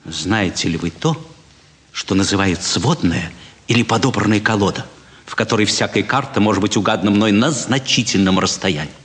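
An actor playing a boastful, cool-headed card sharp in a theatrical classic comedy, speaking in an expansive, confiding way. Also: male voice, on the low side, moderate pace, natural voice. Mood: proud, confiding. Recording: stage recording with long hall reverb.

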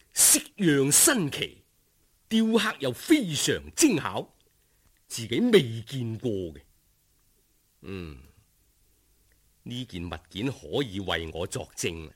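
A male Cantonese storyteller narrates in an expressive, dramatic way. His husky voice swings widely in pitch while keeping a slow, savouring pace. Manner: expressive, unhurried, savouring descriptions. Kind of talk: dramatic scripted folk-tale narration.

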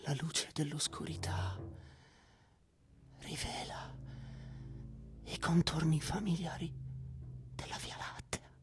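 An actress playing a dreamlike, awestruck narrator in a breathy, hushed whisper, as in radio theatre. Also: female voice, low voice, steady tone, slow, long pauses, over music. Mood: hushed, awed. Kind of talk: dramatic literary reading, radio theatre.

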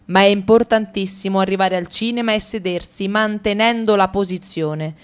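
A woman with an Italian accent reading a radio drama in a lively, ironic way. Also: mid-range voice, normal speed, clear, resonant tone.

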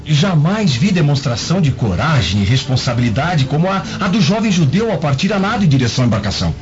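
Declamatory, stern Roman household man, radio drama, raised pitch, animated, muffled audio. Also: fast. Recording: dull lo-fi audio with music.

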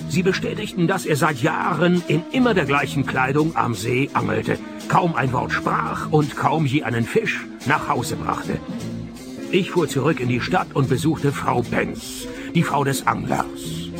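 Wry, gravelly private detective. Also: male voice, mid-range voice, measured pace, music in the background. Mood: dry narrating. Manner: dry, deadpan, sarcastic. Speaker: lead actor and narrator. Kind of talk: radio play detective narration and dialogue.

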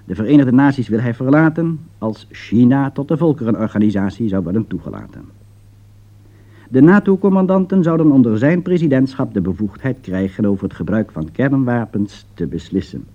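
A man's voice: mid-range voice, lively intonation, a little fast, warm tone, muffled recording. A man reading a scripted political commentary in a formal, emphatic, rhetorical manner. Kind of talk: scripted broadcast commentary read aloud.

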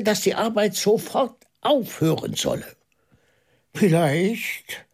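A man acting a shrewd, pensive priest sleuth in a German radio drama, deliberate and probing, with a husky voice. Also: sits high, heavily acted. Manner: deliberate, probing, dropping into hushed asides.

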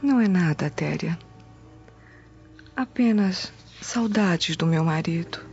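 A woman with a Brazilian accent playing a gentle, worried Roman noblewoman in a radio drama. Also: fairly low pitch, animated, slow pace, soft background music. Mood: subdued worry. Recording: dull, muffled studio track.

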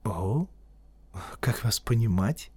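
A man voicing a poised, proud woman near forty who speaks softly with a lightly mocking edge. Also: on the low side, expressive, slow pace, breathy edge, no room sound, heavily acted. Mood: teasing.

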